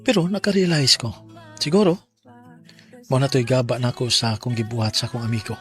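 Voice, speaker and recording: A man's voice: mid-range voice, fairly quick, soft background music, natural voice. An actor with a Cebuano Filipino accent playing a heartbroken young man betrayed by his friend in a radio drama. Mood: remorseful.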